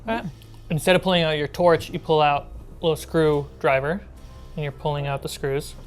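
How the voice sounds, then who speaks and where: Game master for a tabletop role-playing session, mid-range voice, describing scenes over quiet music. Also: medium pitch, fairly even intonation, normal speed, clear, resonant tone.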